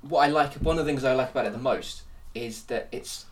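A quick, jokey man hosting a film podcast, teasing and stuffy with a cold. He sits back from the mic, so his voice sounds a little distant. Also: medium pitch. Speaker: podcast host. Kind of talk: casual film-review podcast chat.

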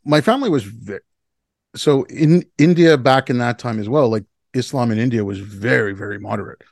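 A man in his fifties from Canada telling his story casually as an interview guest, often restarting his sentences in a halting, conversational way. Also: mid-range voice, creaky, darkish tone, no echo, studio quality. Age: 50s.

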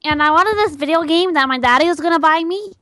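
A woman's voice: extremely high pitch, quick pace, fairly bright tone, no echo, studio quality. A woman playing a shy little girl on a prank call, hesitantly sounding out words she cannot read. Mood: shy, eager. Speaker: prank caller. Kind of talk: improvised prank phone call.

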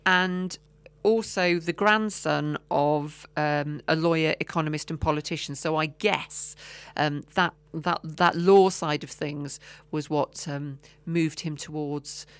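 Chatty woman, lowish voice. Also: steady tone, slow, clean tone, dry recording. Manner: chatty, trails off with many ums and restarts. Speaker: podcast co-host. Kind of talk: casual chat.

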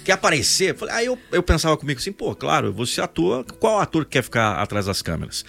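A man's voice: on the high side, very animated, fast talker, gravelly, fairly bright tone, faint music behind. A man in his forties with a Brazilian São Paulo state accent, telling stories expressively and emphatically in a casual podcast conversation. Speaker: podcast host, voice-over announcer and actor.

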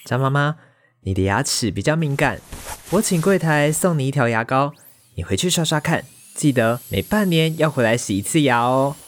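A man's voice: medium pitch, animated, moderate pace, natural voice. An actor playing a cute, muddled young male dentist in a radio drama, boyish and stammering when flustered, with a Taiwanese Mandarin accent. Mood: professional. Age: young adult.

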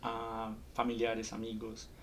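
A man's voice: fairly low pitch, completely flat delivery, slow pace, a little hiss or hum. A man in his early thirties from Colombia, with a Colombian accent, talking casually as a podcast co-host.

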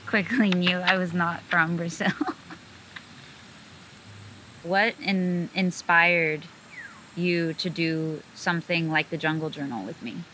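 Low, bright-toned American woman. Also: slight background noise, low-quality recording. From United States. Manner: fluent, earnest, many "like" fillers. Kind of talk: casual conversation.

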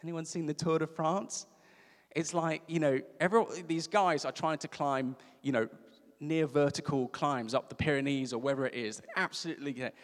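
A man's voice: fairly high pitch, steady tone, normal speed. Man preaching in a reverberant hall. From South Africa. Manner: conversational, warm storytelling with emphatic repetition. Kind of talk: sermon. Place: church service.

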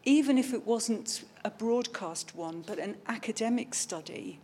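A woman in the audience asking a panel a measured, articulate question. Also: a little high, lively intonation, darkish tone, breathy edge. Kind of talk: question from the floor.

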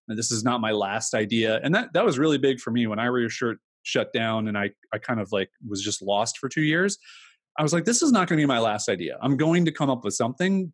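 A man's voice: mid-range voice, dry recording. A man in his thirties, an entrepreneur talking candidly in an interview, rambling with many fillers. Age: 30s. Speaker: entrepreneur guest. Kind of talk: casual interview talk.

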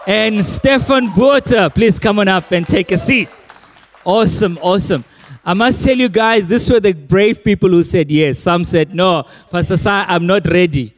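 Man, pastor, hosting church panel, upbeat, teasing, slightly high voice, long hall reverb. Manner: upbeat, teasing, calls out names loudly. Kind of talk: church stage hosting, lively and joking.

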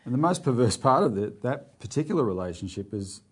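A man's voice: mid-range voice, big pitch swings, brisk, breathy edge. An Australian man co-hosting a political talk show, discussing current affairs in a conversational way with many restarts. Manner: conversational with frequent fillers and restarts.

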